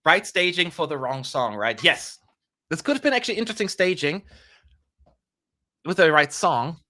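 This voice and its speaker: A man's voice: a little high, animated, normal speed, bright, forceful tone. A young man hosting a live stream, chatting casually with playful asides and jokes. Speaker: livestream host.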